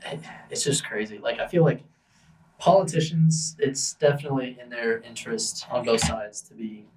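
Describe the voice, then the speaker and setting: Young guy chatting casually, slangy and relaxed, mic a bit far. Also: mid-range voice, normal speed, a little hiss or hum. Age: young adult. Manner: easygoing, slangy reactions, thinks aloud. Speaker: podcast co-host, university student. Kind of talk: casual chat.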